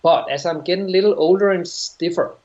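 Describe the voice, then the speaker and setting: Danish-accented man, halting and thoughtful. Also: sits high, darkish tone. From Denmark. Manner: thoughtful, halting, restarts phrases. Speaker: yoga teacher. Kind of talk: interview answers. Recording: dull lo-fi sound.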